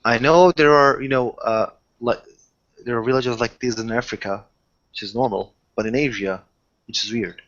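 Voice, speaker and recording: A man chatting casually as a player in a roleplaying group, halting and repeating his words. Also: medium pitch, fairly bright tone, muffled recording.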